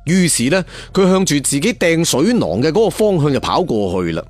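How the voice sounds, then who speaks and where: Animated male storyteller, very lively intonation. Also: on the high side, a little fast, soft background music. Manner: theatrical, colloquial traditional storyteller phrasing. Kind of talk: dramatic serial storytelling narration.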